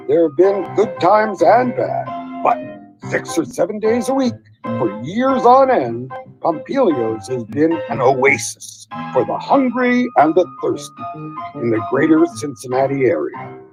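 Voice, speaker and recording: Lively announcer reading over music. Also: mid-range voice, highs cut off. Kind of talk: scripted ad narration.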